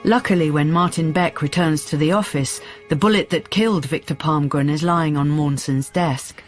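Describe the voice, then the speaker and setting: A woman playing the storyteller of a police radio drama, reading scripted narration in a measured, descriptive way. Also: low voice, soft background music, lightly acted.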